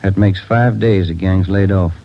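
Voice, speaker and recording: A man's voice: sits low, normal speed. A man acting a wry, workaday American detective partner in a 1940s radio crime drama. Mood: wry, businesslike. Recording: dull, noisy 1940s radio recording.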